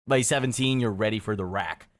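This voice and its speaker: A man reading scripted second-person narration in a measured, matter-of-fact way. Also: on the low side.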